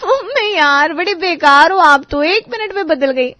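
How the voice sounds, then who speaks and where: A woman's voice: extremely high pitch, lively intonation, normal speed, pushed and bright, no echo, exaggerated voice. A woman playing an exasperated young bride. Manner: complaining, frantic. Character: young daughter-in-law, exasperated and whiny. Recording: dry, slightly muffled studio voice.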